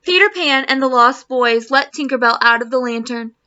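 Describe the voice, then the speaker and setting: A woman teacher reading a children's storybook aloud to students, expressively and deliberately. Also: sits high, pushed and bright, muffled recording. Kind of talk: children's storybook read-aloud in Spanish and English.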